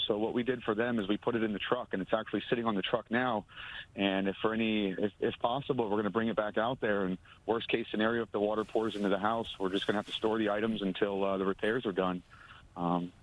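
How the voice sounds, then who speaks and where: A man, a moving company owner, speaking on a phone interview, halting with many ums and restarts. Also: on the low side. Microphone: phone line. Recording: phone line audio.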